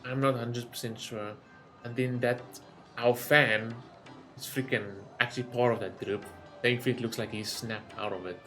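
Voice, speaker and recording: A young man reacts casually to a story game, rambling and circling back with plenty of fillers. His voice sits at an ordinary pitch, with faint game music behind. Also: steady tone, moderate pace, bright, forceful tone. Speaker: gaming channel host.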